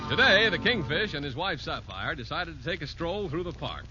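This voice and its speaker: A man's voice: a little high, sing-song, normal speed. A man announcing and narrating a 1940s radio show in a scripted style. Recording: dull old radio recording with music. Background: music.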